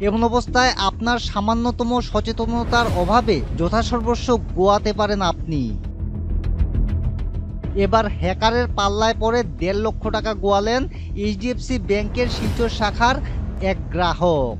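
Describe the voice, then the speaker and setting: A man's voice: sits high, normal speed. A man reading a scripted news report as a reporter. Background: music bed.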